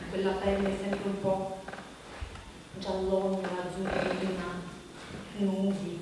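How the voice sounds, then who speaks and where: A pensive, morbidly fascinated woman in naturalistic stage dialogue. She muses slowly in small fragments. She sounds far from the microphone in a long hall reverb, with loud noise around her. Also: mid-range voice. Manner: musing, piecemeal phrasing. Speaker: stage actress. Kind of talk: naturalistic conversational theatre dialogue. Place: large reverberant performance space.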